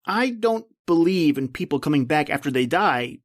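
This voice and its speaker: A man's voice: on the high side, animated, moderate pace. A man playing a wary, questioning estate executor in an old-time radio horror drama.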